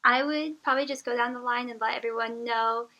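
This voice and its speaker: A woman's voice: fairly high pitch, steady tone, a little fast, bright, forceful tone, lo-fi sound. A young adult woman answering a job interview on the phone, earnest and polite, with frequent fillers. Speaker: job applicant.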